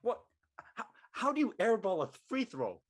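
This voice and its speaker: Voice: mid-range voice, big pitch swings, moderate pace, breathy edge. An actor playing a brash, slangy, easily exasperated teenage basketball player in a scripted drama. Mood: exasperated, teasing. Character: cocky teenage boy high school basketball player.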